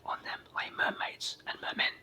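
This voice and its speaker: A person with a sore throat and a cold, whispering a children's story in a raspy, froggy voice as ASMR narration. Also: moderate pace. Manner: hushed whispering throughout.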